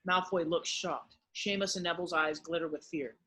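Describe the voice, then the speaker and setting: Bright, husky male screenplay narrator. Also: on the high side, fairly even intonation, normal speed. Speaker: narrator of stage directions. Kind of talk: scripted dramatic narration.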